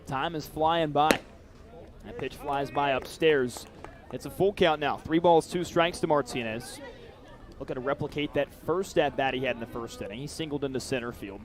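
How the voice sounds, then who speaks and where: A man's voice: on the high side, normal speed. A young American man giving live radio play-by-play commentary of a baseball game. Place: outdoor baseball stadium broadcast. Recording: live outdoor ballpark crowd noise. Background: crowd and outdoor ballpark ambience.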